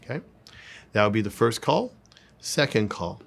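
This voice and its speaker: A man's voice: on the low side, very animated, normal speed, gravelly. A man giving an informal sales coaching lesson, repeating words and phrases for emphasis. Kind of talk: informal instructional talk with demonstrations.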